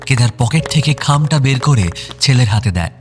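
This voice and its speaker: A man's voice: mid-range voice, animated, moderate pace. A man narrating an audio drama from a script, describing scenes and actions. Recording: clear music bed behind voice.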